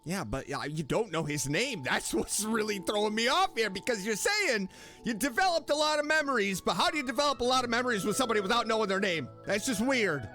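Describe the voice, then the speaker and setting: A man's voice: sits high, very animated, fast talker, pushed and bright. A man doing casual, playful gameplay commentary as a streamer, talking back to the game's characters. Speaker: streamer, gaming host. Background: faint game music.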